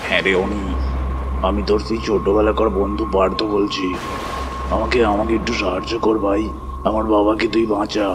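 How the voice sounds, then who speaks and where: Male actor as desperate pleading friend in a horror story: lowish, narrow pitch range, stammering, over loud music. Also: little pitch movement, fairly quick, background noise, close to an everyday voice. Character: desperate childhood friend pleading to save his father. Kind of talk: audio horror story acting.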